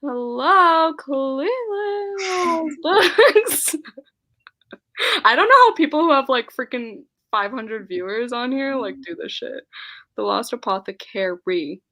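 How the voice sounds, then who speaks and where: A woman's voice: high voice, expressive, slow pace, bright, forceful tone. A young woman hosting a casual live-stream book chat, giggly and scattered, reading viewer comments aloud. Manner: giggly, scattered, laughs often.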